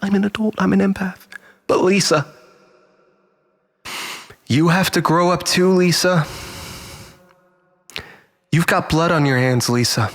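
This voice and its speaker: A man's voice: high-pitched, measured pace, pauses often, in a large hall. A man playing a desperate, manipulative, self-pitying lover in a dramatic monologue, stammering and repeating himself as he swings between pleading and bitterness. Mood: bitter, accusing.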